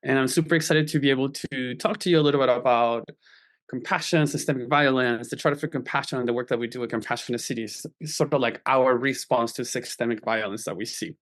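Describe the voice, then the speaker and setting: A man's voice: medium pitch, fast talker, a bit bright. A man from Chile giving a friendly, conversational presentation on a video call. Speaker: panel speaker.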